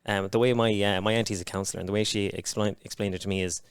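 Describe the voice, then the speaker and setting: A man's voice: on the low side, steady tone, smooth, dry recording. A man speaking candidly as a podcast guest, swearing often and restarting his sentences. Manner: frank and heavy-swearing, halting restarts. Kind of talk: candid conversation.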